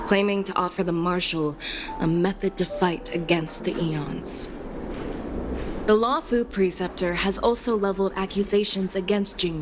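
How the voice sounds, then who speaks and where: A woman playing a self-possessed veteran general in a video game, speaking in a measured, formal, matter-of-fact manner. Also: fairly low pitch, close to an everyday voice. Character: self-possessed female war general, candid, dutiful veteran. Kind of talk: scripted video game voice acting. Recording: music behind the voice.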